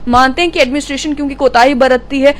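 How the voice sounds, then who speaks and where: A woman's voice: high-pitched, steady tone, quick pace. A woman reporter, asking long, probing questions in an interview.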